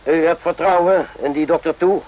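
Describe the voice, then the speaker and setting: A man's voice: on the high side, steady tone, normal speed, a little mellow, dull audio, lightly acted. A man playing a blunt, suspicious sergeant in a radio play, needling and sardonic. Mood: sardonic, probing. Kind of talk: radio drama acting. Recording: noisy old radio play recording.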